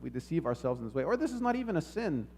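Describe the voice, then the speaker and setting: Higher-pitched man preaching, measured and reflective. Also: big pitch swings, warm tone, a little hiss or hum. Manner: measured, reflective, with rhetorical questions and repeated phrases. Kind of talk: sermon, teaching a congregation.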